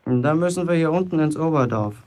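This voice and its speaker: An actor playing a boastful, self-important teenage apprentice bricklayer from a village, chatting colloquially in a radio play monologue. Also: male voice, a little high, lively intonation, fairly quick, a little mellow, close to an everyday voice. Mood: curt. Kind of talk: German radio play acting, first-person monologue.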